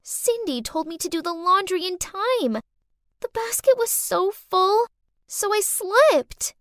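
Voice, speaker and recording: A very high, childish little girl's voice acted by a woman narrator for a dramatized story. She is bratty and scheming, pushy and whiny, with pitch that swoops about. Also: expressive, slow pace, no room sound, clean studio sound, exaggerated voice.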